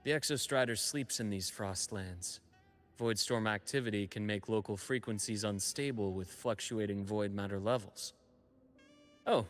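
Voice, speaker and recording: A man voicing a calm, responsible adult academy teacher in a video game. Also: fairly low pitch, natural voice. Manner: measured, matter-of-fact.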